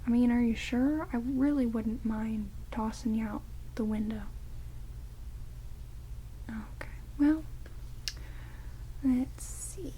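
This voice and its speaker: A woman playing an ancient, quirky vampire in an ASMR roleplay, speaking in halting, hushed whispers and soft murmurs. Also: on the high side, slight background noise, rough recording. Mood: musing, deadpan. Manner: hushed, rambling, halting, drawn-out words. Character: ancient vampire, quirky, blunt yet caring, bandaging wounds. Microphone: close binaural microphones. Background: heavy rain.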